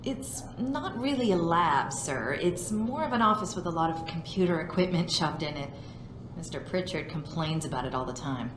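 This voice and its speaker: Courteous, chatty front-desk receptionist for a game; lowish voice with animated, wry delivery. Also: female voice, normal speed, noisy background. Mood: helpful, wry. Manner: courteous, helpful, slightly wry. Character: polite, chatty, wry female office receptionist. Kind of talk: video game cutscene acting. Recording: echoey lobby sound, clear background ambience.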